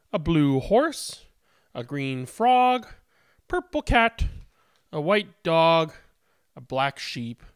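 A man in his thirties, host of a children's read-aloud, speaking in an expressive, sing-song way. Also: on the high side, no echo, clean studio sound. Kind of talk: picture-book read-aloud with casual introduction. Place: indoors, small room at home.

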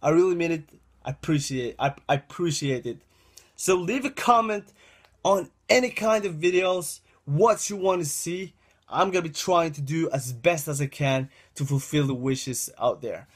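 A man's voice: a little high, normal speed, slightly rough. A young man giving casual gameplay commentary, repeating himself and talking to viewers as "guys," with a dry throat, recorded indoors on a webcam setup. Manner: rambling, repeats phrases, addresses viewers as guys. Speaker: gaming channel host.

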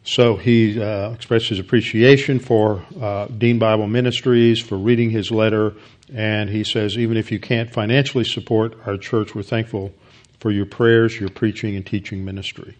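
A man in his sixties, a pastor leading an evening Bible study, who speaks slowly with pauses and fillers while reading a letter aloud. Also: on the low side, steady tone, darkish tone. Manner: reads a letter aloud, slow and halting with fillers. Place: studio with plain backdrop, standing at a lectern.

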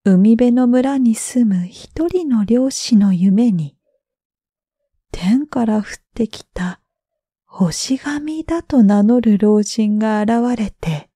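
Woman reading sleepy bedtime tales, soft breathy mellow voice, super gentle, dry recording. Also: a little high, dry recording. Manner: gentle, drawn-out, breathy phrase endings. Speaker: storyteller, freelance announcer. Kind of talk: bedtime story narration for sleep.

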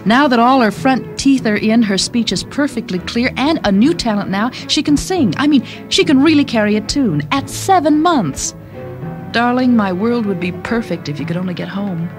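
A woman's voice: a little high, expressive, normal speed, over music, natural voice. An actress playing a doting, chatty young mother in a radio drama, confiding and gushing expressively. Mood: proud, gushing.

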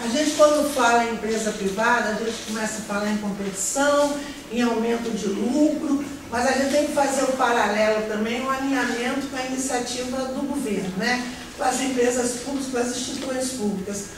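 A woman's voice: on the high side, a little fast. A middle-aged woman with a Brazilian accent lecturing in a classroom, explaining step by step and often checking in with her listeners. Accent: Brazilian Portuguese. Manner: explanatory, repeats and restarts phrases, checks in with "né?". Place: large classroom with a projector. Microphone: no mic, far camera. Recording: echoey room from far off, noisy.

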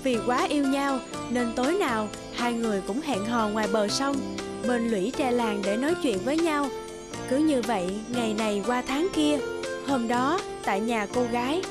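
Female storyteller, high voice, scripted folktale narration, music behind. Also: moderate pace.

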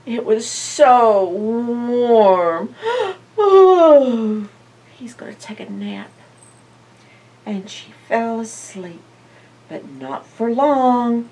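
A woman's voice: fairly high pitch, extremely slow, slight background noise. An older woman reads a picture book aloud to young children as a storyteller, expressively and with questions to her listeners. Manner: expressive, asks listeners questions, animated reactions. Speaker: library storyteller. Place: indoor library, seated by bookshelves. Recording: slightly distant microphone.

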